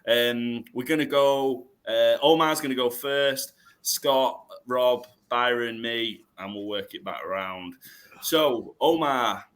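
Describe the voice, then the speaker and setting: A slightly hoarse man hosting a casual podcast draft, rambling through restarts and fillers. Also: mid-range voice, takes it slowly, fairly bright tone. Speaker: podcast host.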